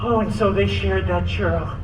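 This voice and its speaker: A man's voice: high voice, steady tone, moderate pace, background noise, close to an everyday voice. An actor playing a wisecracking, sarcastic male antihero who tells a story to a live crowd, full of self-mocking jokes. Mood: snarky. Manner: snarky, self-mocking, fourth-wall jokes. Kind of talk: comic live storytelling to an audience. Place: outdoor theme park stage. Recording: echoey park speakers from far off, loud music.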